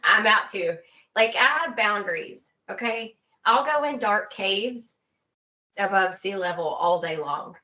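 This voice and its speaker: A woman guest on a video call, chatting informally and telling anecdotes in an animated way. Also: mid-range voice, a little slow. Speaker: podcast guest. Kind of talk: casual chat, telling travel anecdotes.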